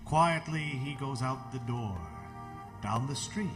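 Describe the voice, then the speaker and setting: A man narrating a radio play in a dramatic storytelling style with deliberate pauses. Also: medium pitch. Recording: music under the voice.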